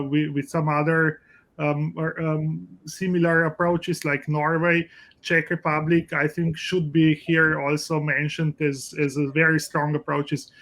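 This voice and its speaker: Halting Slovenian-accented man, flat delivery. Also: fairly high pitch. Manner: halting, many restarts and fillers. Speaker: pediatric lipid specialist, professor. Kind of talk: panel discussion.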